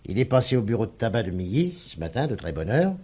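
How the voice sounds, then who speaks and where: A man's voice: lowish voice, big pitch swings, fast talker, warm tone, husky, dull audio. An actor playing a dutiful male gendarme sergeant in a French radio drama, formal, respectful and a little hesitant. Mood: grave, reporting.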